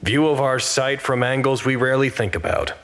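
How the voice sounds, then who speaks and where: A man acting as a wry communications head in an audio drama, describing things in a dry, measured way. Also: medium pitch, a bit bright, smooth, lightly acted. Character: wry, observant communications head reporting on an art show. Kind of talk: audio drama narration into a radio pack.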